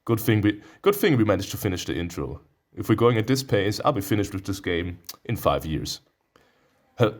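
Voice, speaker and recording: Deep-voiced, animated male gamer. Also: moderate pace. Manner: playful, theatrical, prone to sudden outbursts. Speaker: gaming video host. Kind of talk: let's play commentary mixed with reading visual novel text aloud.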